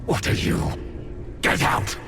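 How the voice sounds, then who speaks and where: An actor voicing an imperious, volatile envy demon in stolen form in a video game, grandiose and taunting. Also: male voice, takes it slowly, lightly acted. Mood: furious. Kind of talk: video game villain acting. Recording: very loud music behind. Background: game music.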